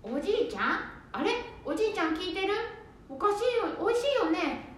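A woman playing a gentle, polite young daughter-in-law in a very echoey room. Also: extremely high pitch, very animated, brisk.

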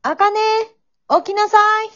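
A woman's voice: very high voice, measured pace, highs cut off, a little hiss or hum. A woman playing an impatient, nagging mother in an amateur radio drama, calling and chiding in a sing-song way.

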